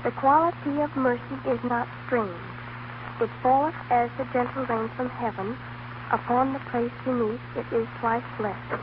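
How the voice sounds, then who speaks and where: A woman's voice: fairly high pitch, fairly even intonation, moderate pace, natural voice. An actress playing a schoolgirl reciting stiffly in a sing-song rhythm in a 1930s radio drama. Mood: dutiful reciting. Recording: muffled, hissy 1930s radio broadcast.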